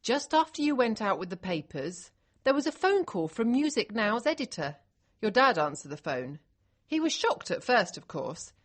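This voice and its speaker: A woman narrator puts on an emphatic, affectionate and bossy woman from a storybook. Her voice is high and swings widely in pitch, urgent and gushing. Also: sing-song, normal speed. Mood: excited, proud. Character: excited, bossy, affectionate older female relative. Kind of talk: audiobook character dialogue.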